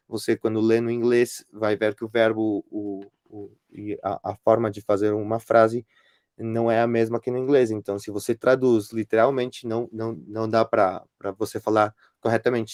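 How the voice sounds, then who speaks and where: Man, Spanish accent, non-native speaker, somewhat low voice, restarts often, dead dry recording. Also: a little mellow, dry recording. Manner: hesitant, many restarts and fillers. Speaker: guest lecturer. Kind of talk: online workshop presentation.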